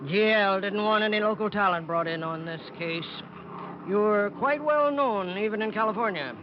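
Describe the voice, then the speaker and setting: A man's voice: sits high, normal speed, lightly acted. An actor playing an obliging, matter-of-fact male assistant to a Hollywood producer in a vintage radio drama. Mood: businesslike. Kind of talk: 1940s-50s radio drama acting. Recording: noisy, muffled old radio broadcast.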